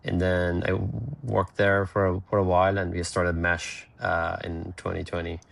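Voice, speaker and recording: A man's voice: low voice, completely flat delivery, moderate pace, gravelly, a little hiss or hum. A man from Iran, a startup founder telling his story plainly and bluntly as a remote podcast guest. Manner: blunt, plainspoken, filler-heavy. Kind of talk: casual interview storytelling. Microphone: remote call.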